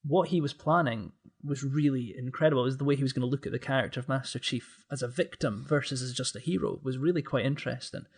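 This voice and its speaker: Male podcast guest, average pitch, conversational and informal. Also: quick pace, hardly any pauses, breathy edge. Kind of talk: casual discussion.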